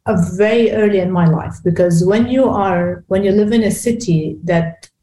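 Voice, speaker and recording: A woman's voice: medium pitch, normal speed, a little hiss or hum. Woman from Lebanon, echoey remote call. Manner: warm, laughs easily, hesitant fillers. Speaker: guest, executive coach. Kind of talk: casual interview conversation.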